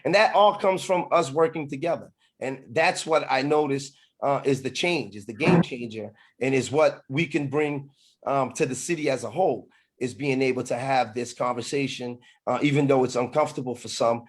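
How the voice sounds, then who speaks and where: A middle-aged man speaking as a political candidate in an online meeting, halting, with frequent pauses and repeated phrases. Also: medium pitch. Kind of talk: campaign speech to an online meeting.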